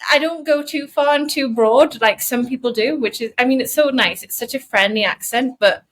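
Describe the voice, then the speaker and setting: A woman's voice: high voice, normal speed, fairly bright tone. Chatty woman with a mixed Geordie accent. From northeast England. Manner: rambling, self-deprecating, chatty. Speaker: podcast co-host. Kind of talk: casual podcast chat.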